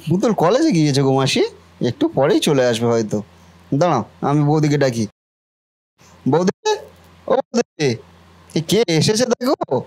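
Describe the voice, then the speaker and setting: A man voicing a trusting, devoted young brother and gullible nephew in a cartoon family drama, earnest and expressive. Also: fairly high pitch, moderate pace, long pauses. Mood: cheerful, welcoming. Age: young adult.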